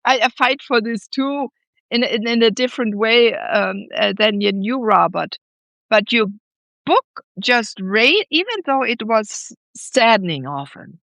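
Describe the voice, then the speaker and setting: A woman speaking as a podcast host in reflective conversation, earnest and halting, often repeating words. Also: medium pitch, a bit bright, dry recording.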